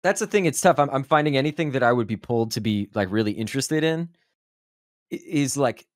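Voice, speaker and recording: A man's voice: medium pitch, lively intonation, fairly quick, no room sound. A man chatting casually as a podcast panelist into a close microphone. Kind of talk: casual chat. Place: indoor room, close mic. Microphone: microphone on boom arm, headset.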